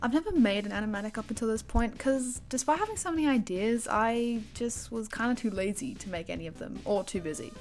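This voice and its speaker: A woman's voice: a little high, expressive, a little fast, few pauses, darkish tone, soft background music. A young woman narrating her own art project in a casual, self-deprecating voice-over, often playing up the drama. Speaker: animatic creator.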